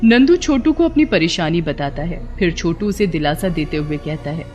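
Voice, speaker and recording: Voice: mid-range voice, lively intonation, moderate pace, highs cut off, faint music behind. A narrator telling a scripted moral story for a cartoon.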